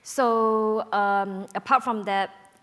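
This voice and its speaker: A woman from Brunei introducing herself as an entrepreneur on a panel, lively with fillers. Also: medium pitch, drawn-out words. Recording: long hall reverb.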